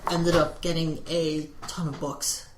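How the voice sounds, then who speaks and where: A man co-hosting a podcast, chatting casually and telling stories in a rambling, hesitant way full of pauses. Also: fairly high pitch, level tone. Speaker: podcast co-host. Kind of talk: casual chat. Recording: roomy echo, faint background noise.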